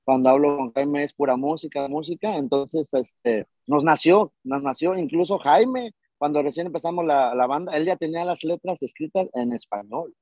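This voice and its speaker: A man answering casually on a video call, slightly higher than most men, with a mellow, soft tone. He rambles a little and fills his pauses, and the call audio sounds dull and lo-fi. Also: dry recording. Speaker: band vocalist, guest.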